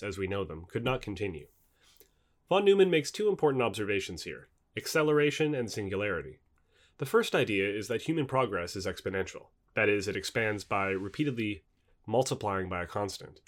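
A man reading a nonfiction book aloud as scripted narration. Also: on the low side.

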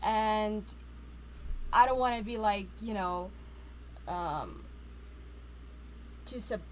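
A woman from Ukraine speaks as an interview guest. She talks casually and halts often, filling gaps with "you know" while she hunts for the right word. Her voice sits at an ordinary pitch over faint background noise. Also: drawn-out words, low-quality recording. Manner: searching for words, many fillers.

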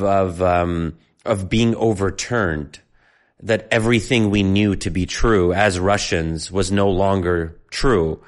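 An American man of Egyptian parents hosting a casual podcast discussion, thinking aloud and correcting himself as he goes. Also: low-pitched. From United States, of Egyptian parents. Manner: thoughtful, rambling, self-correcting. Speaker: podcast host.

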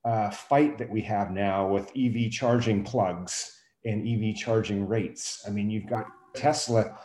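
A man's voice: fairly low pitch, fairly even intonation, unhurried, darkish tone. A man giving his opinions in a casual discussion, conversational and emphatic. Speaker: podcast host, teacher. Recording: echoey room.

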